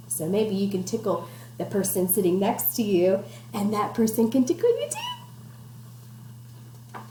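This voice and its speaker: A woman's voice: fairly high pitch, dark tone. A woman teacher doing an interactive picture-book read-aloud for children at home, encouraging them with questions and instructions. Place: at home, indoors. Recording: echoey room with faint background noise.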